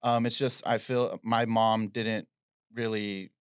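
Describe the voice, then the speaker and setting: A young man co-hosting a podcast, speaking in a relaxed, laid-back casual chat. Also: lowish voice, slow pace, clear, resonant tone, dry recording, clean studio sound. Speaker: podcast co-host.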